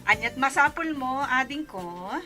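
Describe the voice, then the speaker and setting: A woman's voice: high-pitched, animated, a little slow, over music. A woman playing a blunt but helpful older sister figure in a radio drama, musing in a drawl with an Ilocano accent.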